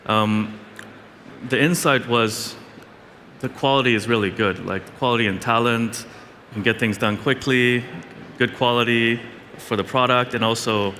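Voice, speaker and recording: A man's voice: mid-range voice, fairly even intonation, a little slow, bright, forceful tone. A man in his thirties from Sweden, answering casually as an interview guest on stage. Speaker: tech founder, interview guest. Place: event stage in a large venue. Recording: echoey large hall, faint noise.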